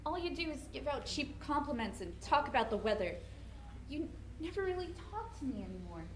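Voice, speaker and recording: A woman's voice: sits high, sing-song, a little fast. A woman playing an insecure teenage girl in love, earnest and hesitant, in a staged dramatic reading. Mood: hurt, pleading. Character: troubled, insecure teenage girl in love, defiant with her mother. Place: live presentation in a room at a college. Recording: echoey room with clear background noise.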